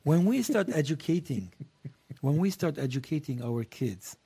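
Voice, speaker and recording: Man, husky voice, blunt and profane, guest in a chat. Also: fairly high pitch, animated, moderate pace, dark tone. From Lebanon. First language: Armenian. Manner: blunt and provocative, swears freely. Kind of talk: casual panel chat.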